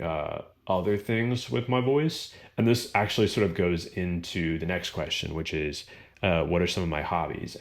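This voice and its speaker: A young man with a baritone voice answering viewer questions in a relaxed, rambling vlog chat full of fillers. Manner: relaxed, rambling, lots of fillers and small laughs. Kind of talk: casual Q&A chat.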